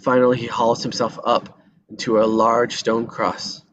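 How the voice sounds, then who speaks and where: A man's voice: mid-range voice, flat delivery, measured pace, a bit bright. A man narrates screenplay action in a mid-range voice. He reads scripted descriptions for a table read. The audio is slightly dull with faint noise.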